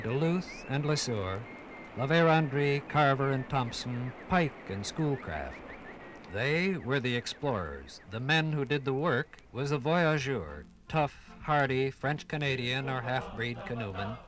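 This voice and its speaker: A man narrating a scripted 1950s radio documentary in a grand, dramatic manner with measured phrasing. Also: medium pitch, fairly bright tone, slight background noise, low-quality recording.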